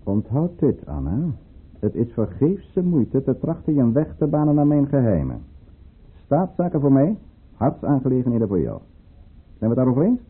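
A man's voice: medium pitch, sing-song, moderate pace, breathy edge. An actor playing a calculating, commanding statesman in a radio play, speaking formally and theatrically. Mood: guarded, stern. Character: calculating, commanding statesman with secrets, in a troubled love affair. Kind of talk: Dutch radio play acting. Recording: muffled, phone-like old radio play recording.

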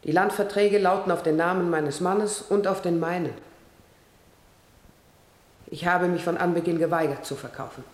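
An actress playing a firm, aggrieved wife giving formal testimony in a radio play. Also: female voice, lowish voice, fairly even intonation, normal speed, pauses often, darkish tone, echoey room, natural voice. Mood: resolute. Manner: formal, resolute. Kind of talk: German radio play acting.

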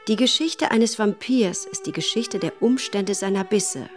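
An actress playing a sober, grave widow who narrates her own story in a measured way in a radio play. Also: medium pitch, expressive, music in the background, natural voice. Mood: grave, reflective. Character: sober widow recounting exile and survival. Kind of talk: scripted first-person radio drama narration.

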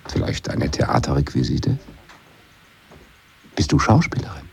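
A man's voice: very deep voice, wildly swooping pitch, a little slow, gravelly, a little hiss or hum, heavily acted. A man playing a nosy, flirtatious bar customer in a radio drama, probing and insinuating. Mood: curious, flirtatious.

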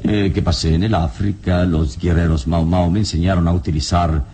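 An actor playing a serene, wise adventurer hero in a radio drama, speaking in a measured, courteous and confident way. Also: male voice, low voice, fairly even intonation, warm tone. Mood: composed, assured.

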